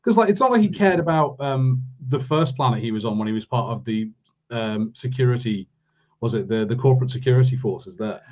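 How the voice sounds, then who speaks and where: A man's voice: mid-range voice, lively intonation, brisk, a little mellow, highs cut off. A man co-hosting a podcast, talking through a review casually in a rambling, questioning way. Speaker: podcast co-host.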